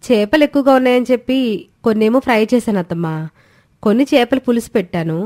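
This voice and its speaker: A woman's voice: a little high, animated, fast, darkish tone, natural voice. A woman voicing a cheeky, scheming young daughter-in-law who talks in a teasing, glib way. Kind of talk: Telugu storybook voice acting.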